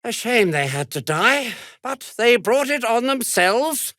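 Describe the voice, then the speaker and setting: An actor playing a pompous, jittery, self-serving merchant in a video game, speaking with a British accent in a haughty, indignant manner that falters nervously. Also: male voice, extremely high pitch, sing-song, slow, bright, forceful tone, no room sound, clean studio sound, exaggerated voice. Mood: haughty, affronted. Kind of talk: video game dramatic acting.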